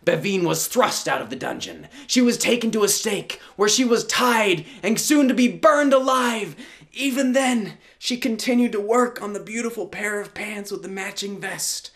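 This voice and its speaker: A man's voice: sits high, normal speed, fairly bright tone. A man telling a folktale as a live storyteller, in a dramatic, theatrical, tongue-in-cheek way.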